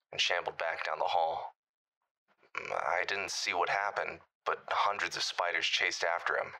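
An actor playing a bitter caller who survived a monster attack, recounting it grimly in an audio drama. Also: male voice, deep voice, sharp tone, exaggerated voice, poor audio. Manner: grim storytelling, bitter.

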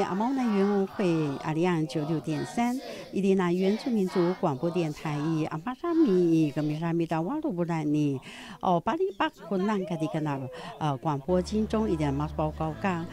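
A middle-aged woman, a native Pinuyumayan speaker, reading scripted news as a presenter, with small fillers between phrases. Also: mid-range voice.

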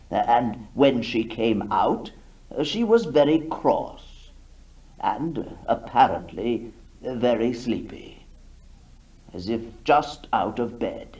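A man playing a halting, self-important country squire giving evidence in a radio drama, stammering as he goes. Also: medium pitch, big pitch swings, a little mellow, recorded from a distance, pitched below the performer's own voice. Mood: sheepish, earnest. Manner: hesitant, stammering. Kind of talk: 1960s radio drama acting.

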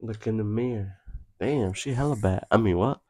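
A man's voice: fairly low pitch, normal speed, dark tone. A young man, chatting and joking with his girlfriend in a bedroom while she does his makeup, laughing often and using loose slang. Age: young adult. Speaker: vlogger. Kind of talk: casual chat. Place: small bedroom, indoors.

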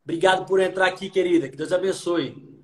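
A man's voice: sits high, fast, roomy sound, heavy background noise. A young man preaching fervently on a live stream from a small room, slipping into song, filmed on a phone at arm's length. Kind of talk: preaching and singing on a live video call. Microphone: phone camera at arm's length.

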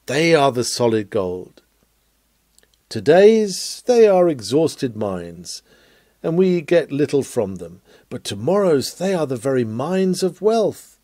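Animated, mellow man reading a sermon. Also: fairly high pitch, no echo. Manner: dramatic, emphatic, measured phrasing. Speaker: sermon reader. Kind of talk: reading of a written sermon, oratorical.

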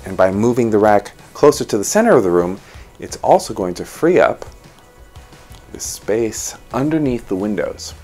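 A man in his thirties with a lowish voice explains a room layout in a home office, his pitch rising and falling freely. He talks close to a lapel mic, and soft music plays underneath. His manner is animated and hands-on. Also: moderate pace. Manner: animated, gestures while explaining. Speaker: interior designer host. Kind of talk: design walkthrough explaining a floor plan.

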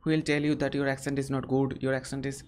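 A man's voice: mid-range voice, monotone, a little mellow, clear, resonant tone. A young man hosting a live question-and-answer stream. He talks earnestly in a mid-range voice and keeps stopping to restart his sentences. He is recorded on a webcam in a small indoor room. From India. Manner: earnest, halting, frequent restarts. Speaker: English-learning channel host.

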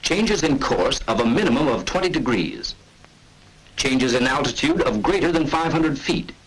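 A man giving scripted, measured and authoritative narration for a 1940s military training film. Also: mid-range voice, lively intonation, a little hiss or hum, low-quality recording.

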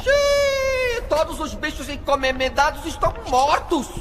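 A man's voice: extremely high pitch, sing-song, a little slow, noisy background, full character voice. A man with a Brazilian accent playing a timid, anxious henchman who stutters, in a dubbed children's cartoon. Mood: wailing. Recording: music behind, long reverb.

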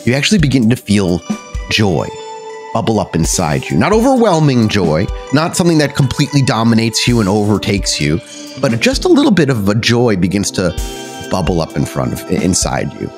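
A man's voice: lowish voice, wildly swooping pitch, a little fast, a little mellow, faint music behind. A man narrating a tabletop role-playing game as game master, descriptive and dramatic with casual asides. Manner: descriptive, dramatic storytelling mixed with casual rules talk.

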